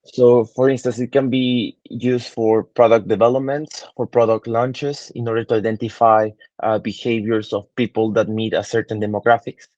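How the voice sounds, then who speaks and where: A man's voice: medium pitch, level tone, normal speed, few pauses, darkish tone, no echo, highs cut off. A man in his twenties from Peru, a digital marketing consultant answering interview questions thoughtfully, with many fillers and restarts. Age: 20s.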